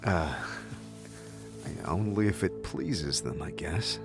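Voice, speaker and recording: A man plays a battle-scarred immortal wanderer in a fantasy audio drama. The voice is deep and unhurried. He is reserved and halting, answering in plain words. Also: animated, music in the background, heavily acted. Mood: hesitant, reserved. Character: hesitant, heavily scarred immortal male wanderer. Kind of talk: audio drama character acting.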